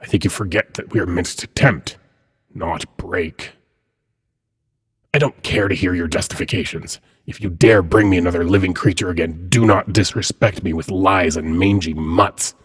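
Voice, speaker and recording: A man playing a suave, haughty demon prince in a dramatic audio roleplay, speaking in a husky, measured, courtly and condescending manner. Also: low-pitched, a bit bright, exaggerated voice. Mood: cold anger. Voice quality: husky, breathy. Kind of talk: dramatic ASMR roleplay monologue.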